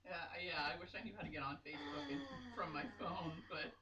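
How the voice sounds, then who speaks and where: A woman's voice: lowish voice, very animated, fast, distant mic. A woman chatting casually with a friend in a silly, self-deprecating way. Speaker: guest reader. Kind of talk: casual banter between friends. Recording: noisy, roomy, off-mic home recording.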